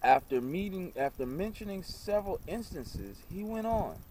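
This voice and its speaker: Man reciting, mellow voice, lively pitch. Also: normal speed, slight background noise, low-quality recording. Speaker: amateur audiobook reader. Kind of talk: recitation of an old religious story.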